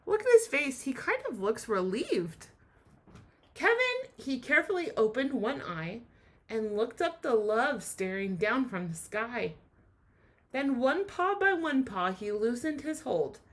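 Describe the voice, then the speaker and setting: Female teacher for a kids' read-aloud lesson: high pitch, lively swoops, expressive, explains as she goes. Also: measured pace. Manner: expressive, asks listeners questions and explains.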